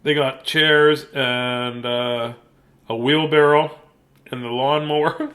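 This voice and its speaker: A man's voice: medium pitch, steady tone, slow pace, fairly bright tone. A man chatting casually as host of a bedtime story reading, playful and self-deprecating, with joking asides.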